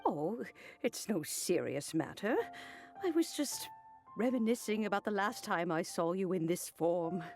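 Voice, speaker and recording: An actress playing a gentle, gracious elderly lady in a video game, polite and measured, with music behind her. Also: medium pitch, animated. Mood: nostalgic. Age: older. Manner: measured, polite, unhurried phrasing. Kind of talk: video game character acting.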